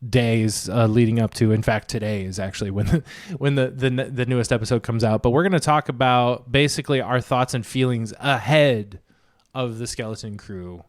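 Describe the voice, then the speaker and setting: A man's voice: medium pitch, normal speed. A man co-hosting a casual podcast, rambling in a joking, profane way with frequent restarts. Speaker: podcast co-host. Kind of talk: casual chat.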